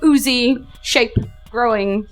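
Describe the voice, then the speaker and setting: High-voiced New woman game master. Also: takes it slowly. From New Zealand. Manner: casual, playful, hesitant rephrasing. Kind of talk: improvised narration of a live tabletop game. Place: live on stage at a club. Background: music under the voice.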